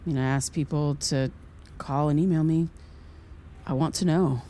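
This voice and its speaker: A woman's voice: sits low, fairly even intonation, mellow, lightly acted. A woman acting as an earnest, hesitant podcaster seeking answers in a naturalistic audio drama. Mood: defensive, insistent. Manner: halting, apologetic, polite. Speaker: lead actor playing a podcaster.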